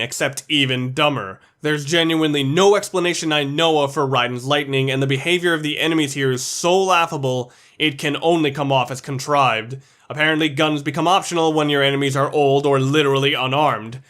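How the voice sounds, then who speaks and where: A man's voice: fairly high pitch, fairly bright tone. A man giving scripted commentary as the narrator of a countdown video essay.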